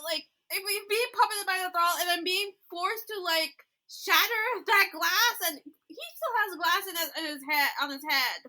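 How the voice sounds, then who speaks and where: A woman's voice: very high-pitched, moderate pace, hardly any pauses, sharp tone. A woman co-hosting a podcast in casual chat with a wry, teasing manner. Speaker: podcast co-host.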